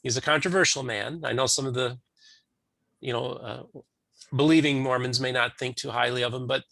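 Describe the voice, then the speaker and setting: Male interview host, bright and forceful with gravelly fry; earnest, informal, conversational, close dry sound. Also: medium pitch, fairly even intonation, brisk, dry recording.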